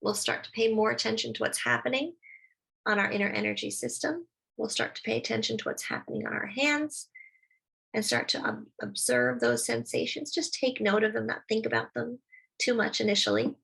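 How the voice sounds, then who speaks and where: A woman leading a guided meditation, speaking slowly and soothingly as she gives gentle instructions. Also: a little high, gravelly, breathy edge, low-quality recording.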